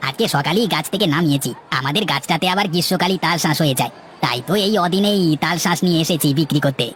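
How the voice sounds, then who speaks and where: Quick-talking, polite village fruit seller played by a man. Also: on the high side, a bit bright, a little hiss or hum, close to an everyday voice. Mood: polite, eager. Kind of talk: acted dialogue in a moral-story cartoon.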